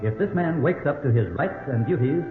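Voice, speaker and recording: A man's voice: fairly high pitch, lively intonation, brisk. An American man announcing a radio drama in a dramatic 1950s style. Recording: tinny old radio recording over music. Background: dramatic music.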